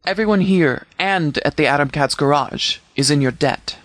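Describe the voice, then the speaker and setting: A man voicing a stern military commander in a video game, speaking formally and with measured authority as he briefs. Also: a little high, a bit bright, no room sound, natural voice. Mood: solemn, appreciative. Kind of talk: scripted game dialogue, mission briefing.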